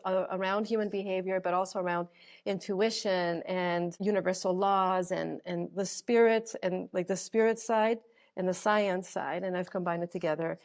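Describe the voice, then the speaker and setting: A woman speaks at a middle pitch. She tells her life story as a podcast guest, thoughtful and earnest, letting her sentences flow on with small fillers. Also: a little mellow. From Canada. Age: 40s. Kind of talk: reflective storytelling interview.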